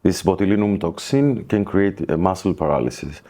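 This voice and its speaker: Deep, dark-voiced Greek man. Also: normal speed. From Greece. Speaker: plastic surgeon, guest expert. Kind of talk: podcast interview, explanatory talk.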